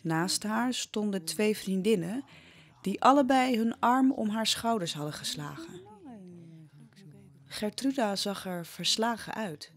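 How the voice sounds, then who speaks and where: A woman with a medium-pitched voice narrates a historical tale. Her delivery is scripted, dramatic storytelling for an audio drama. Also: expressive, measured pace.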